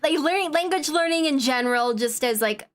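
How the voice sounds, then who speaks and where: Brief: woman streamer host, slightly high voice, rambling and giggly. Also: animated, brisk. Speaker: VTuber host. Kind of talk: casual stream chat.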